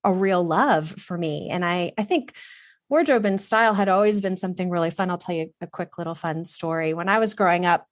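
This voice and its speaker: A woman's voice: fairly low pitch, fairly quick, no echo, studio quality. A middle-aged woman from Texas, a guest telling personal stories in an earnest, reflective, casual way. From Texas, USA. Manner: reflective and earnest, with some fillers. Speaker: guest, wardrobe consultant. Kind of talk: casual conversational storytelling.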